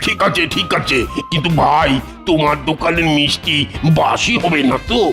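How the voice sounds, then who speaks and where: A man voicing a genial, dithering old grandfather in a cartoon, creaky and given to repeating himself. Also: a little high, normal speed. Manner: hesitant, musing, repeats himself. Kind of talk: cartoon voice acting. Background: music behind the voice.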